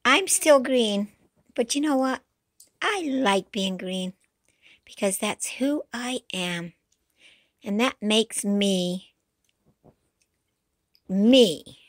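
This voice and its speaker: A woman voicing a cheerful, childlike green dinosaur in pretend play, with a high, squeaky, sing-song voice, gasping, exclaiming and now and then dropping to a whisper. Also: lively intonation, extremely slow, long pauses, dry recording, clean studio sound. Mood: content. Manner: gasping and exclaiming, asks questions, drops to whispers.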